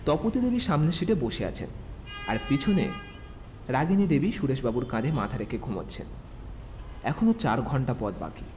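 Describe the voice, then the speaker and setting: A man narrates a scripted audio story. His voice is mellow and medium-pitched, with very expressive rises and falls. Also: normal speed, long pauses, soft background music.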